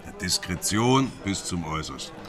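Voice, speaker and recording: An actor playing a sardonic, level-headed police investigator in a radio drama, talking in a dry, casual way. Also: male voice, low voice, lively intonation, moderate pace, a crowd in the background. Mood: pensive. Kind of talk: radio drama, conversational dialogue.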